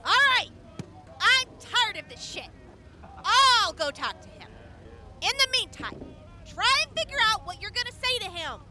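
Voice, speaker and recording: A woman's voice: very high-pitched, big pitch swings, unhurried, long pauses, heavy background noise, full character voice. An actress playing a blunt, sardonic young female medic in a post-apocalyptic radio drama. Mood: irritated. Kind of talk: audio drama acting.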